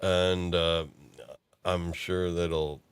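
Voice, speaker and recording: A man hosting a casual chat, talking haltingly and circling back mid-thought. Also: low-pitched, little pitch movement, smooth. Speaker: podcast host. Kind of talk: casual chat about fan fiction and films.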